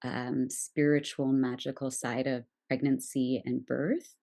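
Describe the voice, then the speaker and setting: A woman's voice: very deep voice, flat delivery, a little slow, vocal fry, warm tone. A woman in her thirties with a Canadian accent, talking reflectively about herself as a podcast guest in a thoughtful, hesitant, rambling way. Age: 30s. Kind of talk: reflective personal conversation.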